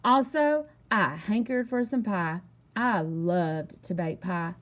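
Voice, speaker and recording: A woman reading a children's story aloud in an animated, expressive way with emphatic delivery. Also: mid-range voice, slow pace. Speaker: storybook reader.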